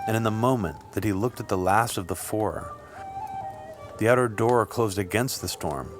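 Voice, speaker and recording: A man reading scripted literary fiction as an audiobook narrator, with measured, deliberate phrasing. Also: low-pitched. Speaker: audiobook reader. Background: music.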